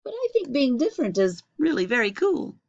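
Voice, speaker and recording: A woman voicing a friendly, encouraging young male cat in a slow, sing-song storybook manner. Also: high voice, sing-song, darkish tone, dry recording, pitched above the performer's own voice. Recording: dull lo-fi webcam recording.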